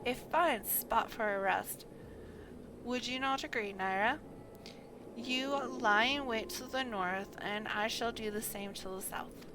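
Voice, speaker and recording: A woman's voice: a little high, takes it slowly, background noise. A woman voicing a wry, cynical male rogue from a fantasy game, with dry archaic phrasing. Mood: conspiratorial.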